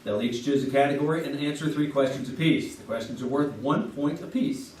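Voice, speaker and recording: A man's voice: on the high side, brisk, darkish tone, lightly acted. A man playing a polished, polite 1950s radio game show announcer and sidekick on a live stage. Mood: polite, composed. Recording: roomy, distant stage recording with music.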